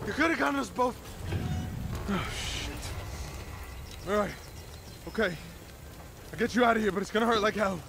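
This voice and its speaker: An actor playing a cocky, hot-headed, foul-mouthed fighter pilot in a video game drama. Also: male voice, sits high, animated, normal speed, vocal fry, long pauses, background noise. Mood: frantic.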